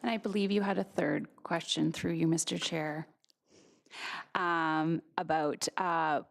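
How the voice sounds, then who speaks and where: A woman's voice: sits low, fairly even intonation, unhurried, vocal fry. A woman on school board staff answering a question, steadily listing programs. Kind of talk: meeting answers.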